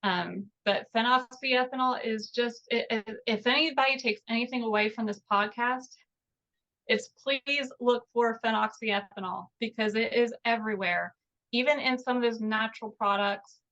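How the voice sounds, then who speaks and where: A woman in her mid-forties explaining things as an interview guest, often pausing to find her words. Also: fairly high pitch, fairly even intonation, a bit bright, lo-fi sound. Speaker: podcast guest, natural skincare product maker. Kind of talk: interview talk explaining products.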